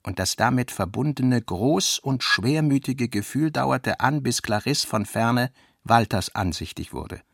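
A man narrating literary prose for a radio play in a measured, deliberate manner. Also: mid-range voice, expressive, gravelly, a bit bright, no room sound, studio quality. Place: dry studio.

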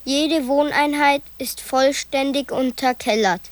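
A woman reading documents and street names aloud in a measured, deliberate way. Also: high-pitched, fairly even intonation, no echo. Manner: slow, deliberate listing. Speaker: narrator.

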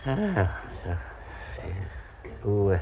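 A man's voice: on the low side, big pitch swings, very slow, dark tone, a little hiss or hum, full character voice. An actor in a radio play, playing a nervous, stammering rich man who gets caught trying to poison someone. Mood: flustered. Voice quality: stammering, repeating words. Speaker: wealthy man being blackmailed. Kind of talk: radio drama acting.

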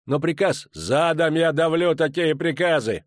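A man's voice: on the high side, measured pace, no echo, studio quality, voice pushed up, lightly acted. A man voicing a fierce, battle-hardened male dwarf commander who roars and curses as he barks orders. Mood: furious. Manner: roaring, cursing, barking orders. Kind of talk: audiobook character reading.

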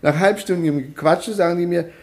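An actor playing a sceptical, grumbling traveller in a radio play, with clipped, ironic, argumentative delivery. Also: male voice, sits high, fairly quick. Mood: exasperated. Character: sceptical, sarcastic, grumbling male West German traveller.

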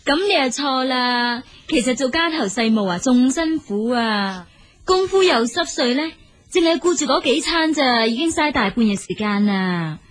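An actress playing a proud, practical young wife and mother in a Cantonese radio drama, emphatic and gently bossy. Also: sits high, expressive, normal speed. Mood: insistent.

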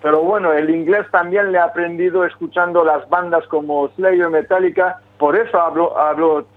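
A man from Quebec, Canada, who speaks French as his first language, talking haltingly with many restarts in a phone interview about his band. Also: a little high, fairly even intonation. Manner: hesitant, many restarts and fillers. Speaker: singer of a metal band, interviewee. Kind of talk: phone interview, casual talk. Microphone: telephone. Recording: phone line.

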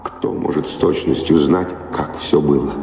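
An actor in an audio drama playing an evasive, weary, dreamy middle-aged wanderer who speaks in a measured, reflective way with pauses. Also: male voice, extremely low pitch, creaky, slight background noise. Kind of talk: theatrical audio drama dialogue. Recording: reverberant stage-like sound, loud music behind.

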